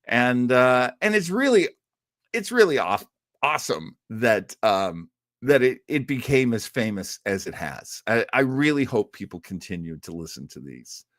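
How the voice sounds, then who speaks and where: Man, American-raised host, medium pitch, casual live chat, enthusiastic fan, wandering asides, very dry recording. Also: very animated, unhurried, a bit bright, no echo. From United States, outside Boston. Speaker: host of an old-time radio listening show.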